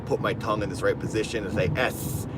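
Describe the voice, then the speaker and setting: A man's voice: lowish voice, fairly even intonation, quick pace. A man with a lisp chatting casually on a livestream from his car while driving, rambling and reading out donations. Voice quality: lisp on S sounds. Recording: car road noise behind.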